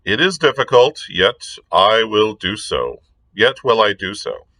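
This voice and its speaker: A man's voice: fairly low pitch, lively intonation, bright, forceful tone, full character voice, poor audio. A man voicing a stern young king who speaks in grave, formal old-fashioned language. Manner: solemn, measured archaic speech.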